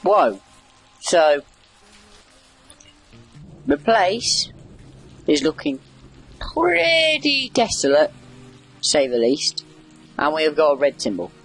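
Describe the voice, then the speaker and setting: Male let's-play commentator, slightly high husky voice, wry off-the-cuff chatter over soft background music. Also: huge pitch swings, takes it slowly, long pauses. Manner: wry, chatty, reacting aloud to the game. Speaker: gamer doing a video game playthrough. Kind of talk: casual rambling game commentary.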